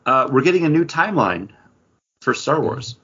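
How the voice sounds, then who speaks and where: A man's voice: medium pitch, big pitch swings, moderate pace, a bit bright, husky, highs cut off. A man co-hosting a podcast, chatting casually and enthusiastically about geek news. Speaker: podcast co-host.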